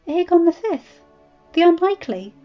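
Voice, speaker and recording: A woman's voice: very high-pitched, very animated, moderate pace, pitched above the performer's own voice. A woman voicing a bold, earnest young noblewoman in a fantasy story, with direct and formal speech. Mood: astonished. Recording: muffled audio with music.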